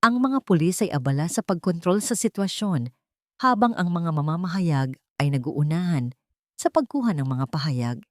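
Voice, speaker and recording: A woman narrates a romantic drama as a scripted story. Her voice sits a little low, solemn and dramatic, recorded close and dry. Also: animated, moderate pace, a little mellow, no room sound.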